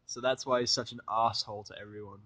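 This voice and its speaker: A man's voice: lowish voice, fairly even intonation, fast, a bit bright, no room sound. Man reading a story, dry close sound. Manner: irreverent, sweary. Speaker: fan fiction reader. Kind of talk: first-person story narration with casual asides.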